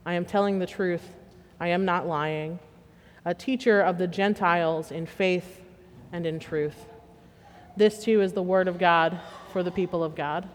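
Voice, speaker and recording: A woman from Western Pennsylvania reading scripture and preaching a sermon in a measured, plainspoken way with gentle humor. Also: fairly low pitch, fairly even intonation. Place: church sanctuary. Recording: long reverb of a church sanctuary.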